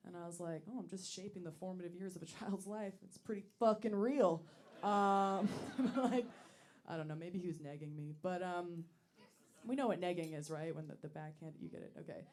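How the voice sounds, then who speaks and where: A woman in her late twenties with a deep voice doing a deadpan, self-deprecating stand-up set on a comedy club stage. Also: normal speed. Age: late 20s.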